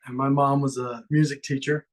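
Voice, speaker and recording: A man's voice: mid-range voice, level tone, moderate pace. A man from Ecuador, a musician guest, talking earnestly and gratefully in a rambling way. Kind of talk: casual interview chat.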